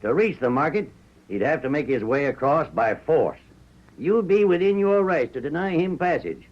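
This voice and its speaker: An actor playing a firm, middle-aged American ranch owner reasoning in a measured, articulate way in a 1940s radio Western. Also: male voice, on the high side, expressive. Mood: persuasive. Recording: muffled vintage 1940s radio recording.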